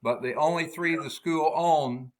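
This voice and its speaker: A man with a loud voice, leading a math department staff meeting over a video call. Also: a little high, measured pace, poor audio. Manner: loud voice, talkative and explaining. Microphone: laptop built-in mic on a video call.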